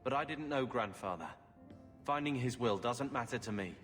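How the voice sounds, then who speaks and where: A man's voice: medium pitch, lively intonation, normal speed, a bit bright, long reverb tail, faint music behind, natural voice. An actor playing a resentful, sarcastic young man, a brooding younger brother in a video game. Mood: bitter, resigned. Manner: sullen, sarcastic.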